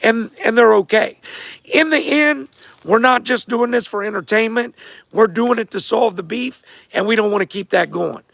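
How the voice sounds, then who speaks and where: A man hosting a casual livestream, answering viewer questions in a hoarse, damaged voice and talking straight to his audience. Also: sits high, moderate pace, fairly bright tone, no room sound. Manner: laid-back, repeats himself, talks straight to viewers. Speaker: host of a fight show answering viewer questions.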